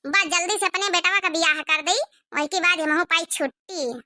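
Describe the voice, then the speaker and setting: A woman's voice: very high voice, normal speed, bright, forceful tone, no room sound, clean studio sound, heavily acted. A woman voicing a chatty, homely village mother with an Awadhi accent in a comedy cartoon. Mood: fussy. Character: chatty village mother eager for her son's wedding.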